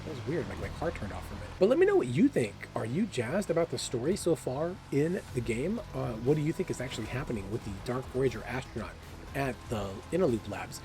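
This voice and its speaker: A man's voice: mid-range voice, very animated, fast talker, music in the background. A man hosting a gaming video with casual, chatty commentary, thinking aloud and enthusiastic about the game. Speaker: gaming video creator and host.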